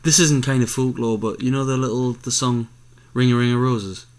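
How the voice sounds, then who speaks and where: A man's voice: medium pitch, fairly even intonation, normal speed, darkish tone. A man co-hosting a podcast in casual chat, musing and asking questions with the odd swear word. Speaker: podcast co-host.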